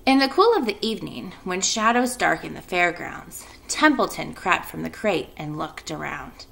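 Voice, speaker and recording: Bright, creaky-voiced woman teacher. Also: fairly low pitch, big pitch swings, moderate pace. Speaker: elementary school teacher. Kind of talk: children's read-aloud and casual class announcements.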